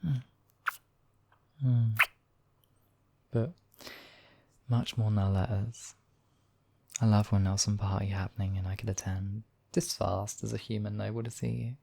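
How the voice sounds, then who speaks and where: Creaky, mellow demon king lover, acted by a man. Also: lowish voice, steady tone, unhurried, long pauses, heavily acted. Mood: fond, teasing. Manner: intimate, courtly, playful. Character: devoted male demon king, tender, teasing lover. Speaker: voice actor in audio roleplay. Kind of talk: ASMR romantic roleplay acting.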